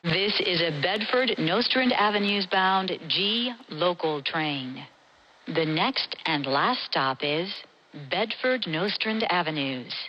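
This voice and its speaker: A woman with an American accent reads scripted transit announcements in a measured, formal, clearly enunciated way. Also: on the low side, animated, pushed and bright, muffled recording. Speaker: subway announcer.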